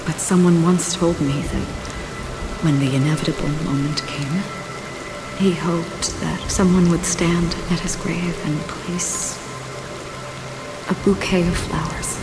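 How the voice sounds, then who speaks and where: A grave, soft-spoken guardian of the dead in a video game, her voice sitting low and steady. She speaks slowly and evenly, with a calm sense of duty. Game music swells loudly behind her. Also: rough recording. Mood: solemn. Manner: measured, solemn, soft-spoken. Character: calm solemn female swordswoman who guides souls. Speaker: game character. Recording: game clip with loud music. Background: game score music.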